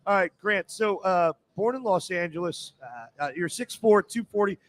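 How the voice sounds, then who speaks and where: A man's voice: high voice, lively intonation, normal speed. A man with an American accent hosting a casual sports interview, joking and bantering as he asks questions. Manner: jokey, bantering. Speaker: show host. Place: live show at a casino.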